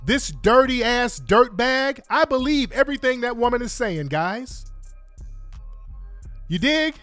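A man's voice: extremely high pitch, sing-song, measured pace, fairly bright tone, dry recording, faint music behind. A congested man ranting bluntly and profanely to his viewers in an exasperated commentary. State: congested from a cold. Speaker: online commentator.

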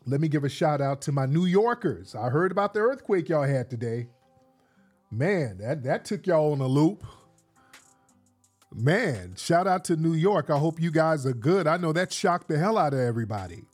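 Congested guy hosting a live show late at night, kinda hyped, slightly high voice, faint music under it. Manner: hyped, casual, repeats himself. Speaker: host.

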